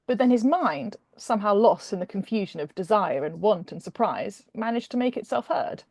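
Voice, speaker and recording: A British woman speaking as a guest author, conversational and expressive as she introduces and reads fiction. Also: medium pitch, moderate pace, dry recording. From Britain.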